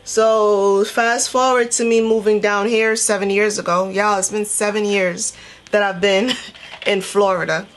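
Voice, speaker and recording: A woman with a mid-pitched voice talks as a beauty vlogger from Trinidad and Tobago. She tells her story casually and conversationally, acting out bits of dialogue as she goes. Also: level tone, measured pace. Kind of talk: casual chatty storytelling while doing makeup.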